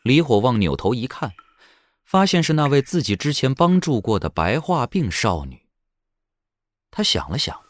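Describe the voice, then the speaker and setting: A man narrating an audio drama in a dramatic, scripted storytelling style. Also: deep voice. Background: background music.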